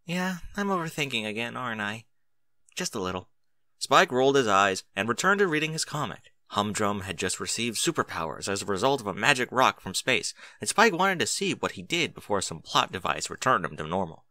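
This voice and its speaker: A man narrating a story in an expressive audiobook style. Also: fairly low pitch, brisk, few pauses, no room sound.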